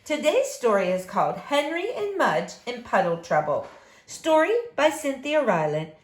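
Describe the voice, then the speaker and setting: A woman reads a children's story aloud, addressing young listeners expressively. Her voice is fairly high and very lively in pitch, at an unhurried pace. The room sounds echoey. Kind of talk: picture book read-aloud.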